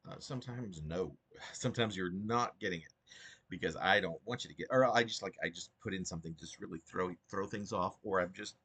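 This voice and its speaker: A man with a husky voice speaking as a poet about and from his own work, in an informal, halting and digressive way. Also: low voice, a bit bright. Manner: halting, digressive, self-interrupting. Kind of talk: rambling informal commentary and poetry reading.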